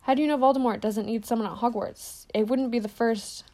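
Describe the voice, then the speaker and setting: A woman's voice: a little high, steady tone, brisk, dark tone, close to an everyday voice. A woman reading as a stubborn teenage boy.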